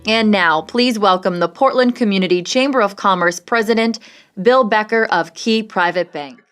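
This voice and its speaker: A woman television news presenter reading a scripted program introduction with polished, even delivery. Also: medium pitch, normal speed, smooth. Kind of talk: scripted broadcast announcement.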